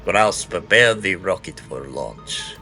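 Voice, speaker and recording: An actor playing a merciless, pompous Nazi general in an audio drama, with an imperious and grandiose delivery. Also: male voice, low voice, animated, slow, sharp tone, faint music behind, exaggerated voice. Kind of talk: audio drama villain acting.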